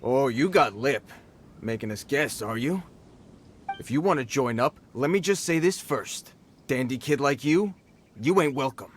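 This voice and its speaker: An actor playing a gruff male treasure-hoarder bandit leader who talks in brash, mocking slang. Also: mid-range voice, animated, measured pace, slight background noise, natural voice. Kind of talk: game voice acting.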